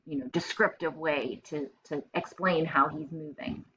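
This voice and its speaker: Low-voiced woman reading a storybook aloud. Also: steady tone, a little slow, fairly bright tone, lo-fi sound, a little hiss or hum. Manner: instructive, thinks aloud in simple terms. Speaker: teacher reading aloud to pupils. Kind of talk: storybook narration with explanatory asides.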